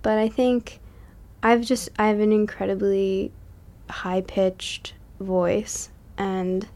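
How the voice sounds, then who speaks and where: Young musician woman on a podcast, gravelly fry in her voice, rambly and laughing a lot. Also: medium pitch, little pitch movement, slow pace, darkish tone. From Massachusetts. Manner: chatty, laughs often, many likes and ums. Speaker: musician guest. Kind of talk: casual podcast interview.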